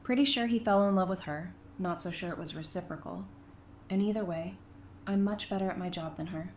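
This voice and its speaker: A woman's voice: lowish voice, fairly quick, darkish tone, close to an everyday voice. A woman acting a dry-witted, guarded therapist, faint noise. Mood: dry, composed. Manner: dry, blunt, challenging. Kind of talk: audio drama acting.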